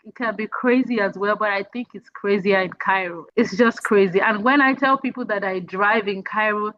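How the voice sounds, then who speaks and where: A woman's voice: medium pitch, normal speed, highs cut off. A woman from Nigeria in her thirties, an emotional wellness coach chatting earnestly as an interview guest and laughing easily. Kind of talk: casual interview chat.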